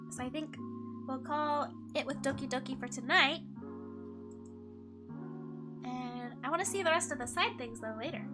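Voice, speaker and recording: A young woman streaming a game and chatting with viewers, playful and teasing, her voice a little hoarse. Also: high voice. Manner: playful, giggly, teasing chat. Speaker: VTuber streamer. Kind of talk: casual game stream chat, reading chat.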